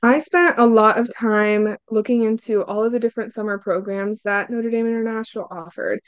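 A woman's voice: fairly high pitch, steady tone, fairly quick, clear, resonant tone, dry recording, muffled recording. A young woman from Hungary, a student guest answering casually and upbeat, with lots of "like" and "um.". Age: young adult. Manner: upbeat, frequent "like" and "um" fillers.

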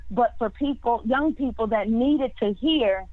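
A woman in her twenties talks over a tinny phone connection with no room sound at all. Her voice sits a bit high. She answers freely, repeats words and restarts her sentences often. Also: a little slow, dry recording. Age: 20s. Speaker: singer guest. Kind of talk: casual interview answers. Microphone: phone line. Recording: tinny dry phone line.

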